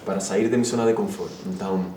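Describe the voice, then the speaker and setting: A man's voice: low-pitched, steady tone, very fast, mellow, roomy sound, far from the mic, a little hiss or hum. A man from Chile with a Chilean Spanish accent, an actor answering interview questions in Portuguese mixed with Spanish words.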